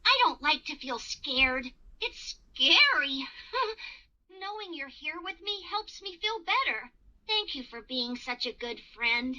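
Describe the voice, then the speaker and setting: A performer voicing a friendly young owl in a children's cartoon, speaking in a playful, expressive sing-song way directly to little children. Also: sits high, drawn-out words, a little hiss or hum, heavily acted. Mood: scared, grateful. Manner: exaggerated, expressive, encouraging, addressing the child directly. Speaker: children's educational cartoon character. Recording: dull lo-fi sound, music behind.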